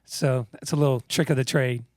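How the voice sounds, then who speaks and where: A man's voice: mid-range voice, fairly even intonation, fairly quick, a little mellow, no room sound, studio quality. A man with a naturally scratchy voice, a musician guest chatting laid-back on a radio show and laughing easily. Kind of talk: radio interview chat.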